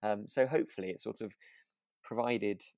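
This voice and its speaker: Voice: mid-range voice, normal speed, darkish tone, dry recording. A podcast co-host chatting casually in a musing, slightly hesitant way. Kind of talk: relaxed conversational podcast chat.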